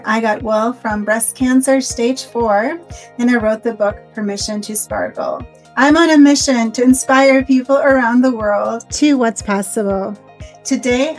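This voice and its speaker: A woman with a Swiss accent hosts a wellness interview, gushing and effusive as she introduces a guest. Her voice sits fairly high, and soft music plays faintly behind her. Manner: enthusiastic, effusive. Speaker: host. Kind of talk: interview hosting.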